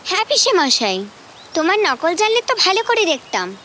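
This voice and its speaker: Very high, bright young girl. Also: very animated, normal speed, slight background noise, full character voice. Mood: eager. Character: eager, chirpy young girl, a helpful niece. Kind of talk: radio drama dialogue.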